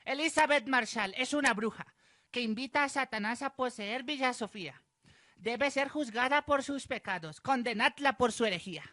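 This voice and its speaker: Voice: medium pitch, normal speed, pushed and bright, no room sound, studio quality, lightly acted. A performer playing a pious, solemn village accuser denouncing sinners in a radio drama. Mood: condemning.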